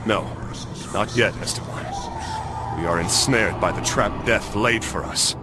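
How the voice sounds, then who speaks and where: A man's voice: on the low side, a little slow, lightly acted. An actor playing an earnest, vengeful young nobleman and cursed crusader in a video game, speaking with solemn drama. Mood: grave. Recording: loud music behind.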